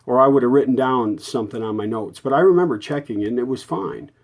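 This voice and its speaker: A man's voice: medium pitch, fast, darkish tone. A man giving a casual, unscripted repair walkthrough in a folksy way, thinking aloud and correcting himself as he goes. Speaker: radio repair hobbyist and video host.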